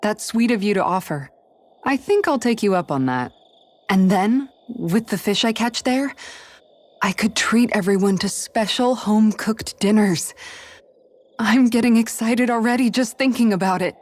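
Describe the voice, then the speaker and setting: A woman's voice: a little high, normal speed, darkish tone, lightly acted. A woman playing an earnest, practical and caring young woman who confides sincerely, in video game dialogue. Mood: hopeful, grateful. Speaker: video game party member. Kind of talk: English-language video game character dialogue.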